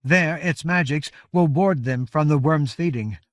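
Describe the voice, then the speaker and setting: A man acts out a giant woman warrior leader from a fantasy audiobook, pitched high and strained with exhaustion. She speaks in grave, archaic, formal oratory, solemn and commanding, in a dry, close recording. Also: a little slow, no room sound, natural voice. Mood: solemn, resolute. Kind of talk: audiobook character voice.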